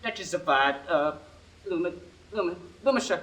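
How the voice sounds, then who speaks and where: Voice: mid-range voice, animated, moderate pace, fairly bright tone, lightly acted. A student actor playing a timid, dim-witted young page boy in a radio play, hesitant and nervous. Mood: flustered.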